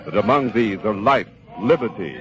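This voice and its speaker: An actor playing a solemn radio reporter, giving formal, measured commentary in the style of golden age radio. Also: male voice, fairly low pitch, lively intonation, fairly bright tone. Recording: dull vintage radio broadcast, crowd murmur.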